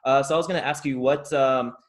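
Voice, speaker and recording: A man's voice: mid-range voice, steady tone, fast talker. A man hosting a soccer podcast, introducing his guest and asking questions over a video call, hesitating and filling gaps with uh. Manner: hesitant, many uh fillers and restarts. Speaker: podcast host. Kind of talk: casual podcast interview.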